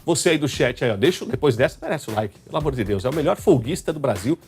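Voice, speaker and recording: A man's voice: medium pitch, expressive, fast talker. A man with a São Paulo state Brazilian accent hosting a live football talk show in a chatty, colloquial, teasing way. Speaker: host. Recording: music under the voice. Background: background music.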